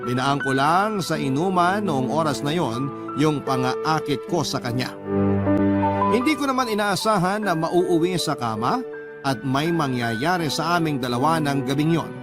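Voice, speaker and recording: A Filipino man reads a scripted, first-person drama as a radio storyteller. His voice sits a little high for a man, and loud music plays underneath it throughout. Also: animated, unhurried. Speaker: radio storyteller narrating a listener's letter. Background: loud background music.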